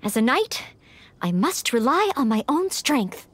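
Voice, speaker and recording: A woman's voice: high-pitched, big pitch swings, a little slow, fairly bright tone, husky, no room sound, full character voice. A woman voicing an earnest, polite young female knight-in-training in an anime-style video game. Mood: earnest, thoughtful.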